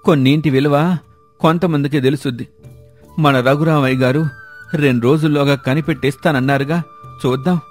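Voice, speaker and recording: A man's voice: fairly high pitch, steady tone, normal speed, long pauses, soft background music, lightly acted. A man playing an anxious, pleading villager with a plaintive manner in a moral story. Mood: troubled, wistful. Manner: plaintive, faltering when cornered. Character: anxious, pleading villager lying about his stolen walking stick. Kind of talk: acted dialogue in a moral story.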